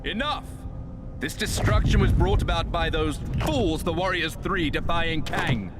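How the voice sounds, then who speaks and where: A man's voice: a little high, lively intonation, measured pace, very noisy. An actor playing a haughty, scheming Norse god posing as a king in a video game, theatrical in delivery. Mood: angry, imperious. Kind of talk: dramatic video game cutscene acting.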